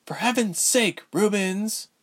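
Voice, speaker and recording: A man's voice: high voice, slow, a bit bright, voice pushed up, full character voice. A man voicing a defiant, bitter young woman sorceress in a storybook, with a sarcastic, biting manner.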